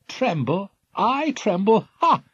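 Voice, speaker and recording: A man playing a bold, grand young hero who shouts commands to his crew, high and loud. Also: sing-song, takes it slowly, husky, no room sound, muffled recording, exaggerated voice. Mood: scornful.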